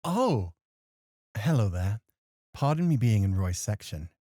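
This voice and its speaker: A man playing a courteous, gently teasing club host in a soft, flirty ASMR roleplay, suave and polite. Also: lowish voice, big pitch swings, a little slow, dry recording, studio quality. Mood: gracious.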